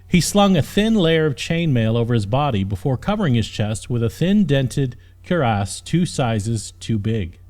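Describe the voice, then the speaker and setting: A man's voice: medium pitch, darkish tone. A man reading a fantasy story as an audiobook narrator, with dramatic scripted storytelling. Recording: music under the voice. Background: background music.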